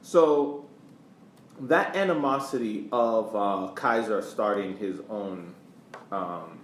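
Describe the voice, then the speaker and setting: Low-pitched man on an echoey podcast. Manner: halting, repeats words while explaining. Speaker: podcast co-host. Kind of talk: casual sports history discussion. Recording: echoey room, faint background noise.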